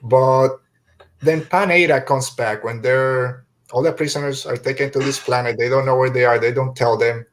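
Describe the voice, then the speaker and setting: Male podcast host for a casual review discussion; average pitch, loose and rambling delivery. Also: fairly even intonation, moderate pace, a little hiss or hum.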